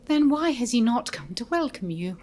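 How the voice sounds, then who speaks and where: A woman playing a polite, nervous English governess, hesitant and breathy, in an audio drama. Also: fairly high pitch, big pitch swings, normal speed, darkish tone. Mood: puzzled.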